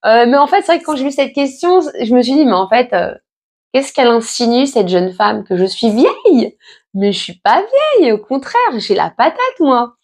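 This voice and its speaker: A woman's voice: a little high, animated, moderate pace, darkish tone. A pregnant woman in her late thirties, chatting casually and reassuringly to her viewers with lots of fillers and restarts. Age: 30s. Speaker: vlogger answering viewer questions. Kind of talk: casual chat.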